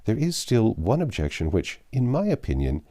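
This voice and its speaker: A man voicing a sensible young woman from a period novel, calm and measured. Her low, dark voice keeps its composure while the pitch still moves about. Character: calm, prudent young woman, elder sister.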